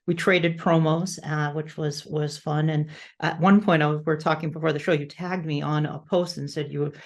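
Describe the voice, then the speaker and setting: Low-pitched woman hosting a podcast. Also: steady tone, moderate pace. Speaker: podcast host. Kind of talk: casual interview chat.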